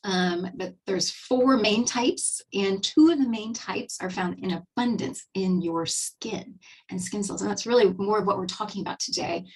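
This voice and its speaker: A woman's voice: mid-range voice, normal speed, breathy edge, distant mic, low-quality recording. A woman in her fifties with a Texan accent, hosting a casual webinar on skincare in a chatty, upbeat way with many fillers and restarts. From Texas, USA. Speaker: webinar host and skincare presenter.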